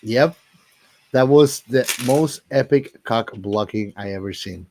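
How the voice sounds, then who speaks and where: A man's voice: mid-range voice, slow pace, mellow, a little hiss or hum. A man co-hosting a podcast, chatting casually and bluntly about movies in slangy, informal language. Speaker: podcast co-host.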